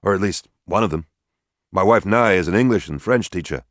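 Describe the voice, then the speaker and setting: A man voicing a calm, precise male historian in an audiobook, level and matter-of-fact. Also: sits low, a little fast, no room sound, clean studio sound, lightly acted.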